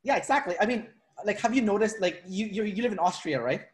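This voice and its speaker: A man's voice: high voice, fast. A man from the Philippines hosting a casual interview, talking in loose, rambling sentences full of fillers and restarts. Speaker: interviewer, personality trainer. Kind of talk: casual video-call interview.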